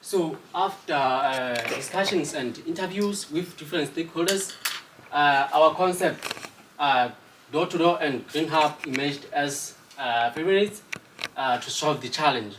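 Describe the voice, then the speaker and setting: A man's voice: fairly high pitch, a little slow, roomy sound. Hesitant man from Lesotho, off-mic. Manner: hesitant, many filler sounds. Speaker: student presenter. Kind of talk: student project presentation. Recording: distant mic with faint noise.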